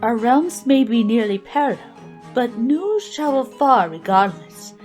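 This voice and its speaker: Lady voicing a calm know-it-all spirit witness, mellow highish voice, audio drama. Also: unhurried, soft background music. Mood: matter-of-fact. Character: knowing female spirit witness, composed and confident. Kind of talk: amateur audio drama acting.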